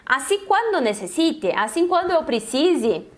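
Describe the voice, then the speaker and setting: High-voiced Brazilian woman teaching. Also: sing-song, unhurried. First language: Portuguese. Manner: conversational, instructive, frequently adding check-in tags. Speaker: Spanish teacher. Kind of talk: informal language lesson, explaining and translating example phrases.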